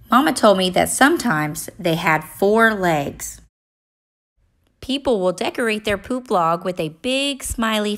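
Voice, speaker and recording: A woman reading a children's story aloud in an expressive, deliberate way for young listeners. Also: mid-range voice.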